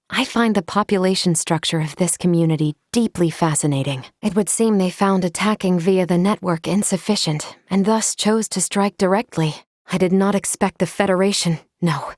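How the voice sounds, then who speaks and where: Woman doing a calm dutiful lady android for a game, lowish voice, very measured and formal, super dry recording. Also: dry recording, natural voice. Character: composed, formal female android crew member, calm and dutiful. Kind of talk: scripted video game character lines.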